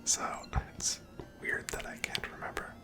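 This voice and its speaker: This is a breathy, fully whispered voice with almost no tone in it. The speaker narrates their own video game playthrough in ASMR style, gently musing aloud in an unhurried, conversational way. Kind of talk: whispered ASMR gameplay commentary.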